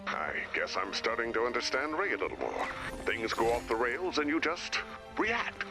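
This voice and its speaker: A man's voice: medium pitch, huge pitch swings, normal speed, over music. A man playing an anxious, fussy base director in a video game, cautious and worrying as he talks. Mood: exasperated. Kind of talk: animated video game voice acting.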